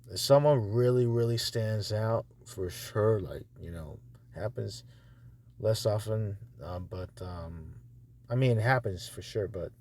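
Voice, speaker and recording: Low, dark-voiced man muttering casually. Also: unhurried. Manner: hesitant, muttering, thinking aloud. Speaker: audiobook publisher reviewing narrator auditions. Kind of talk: casual screen-recording commentary. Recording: noisy phone screen recording.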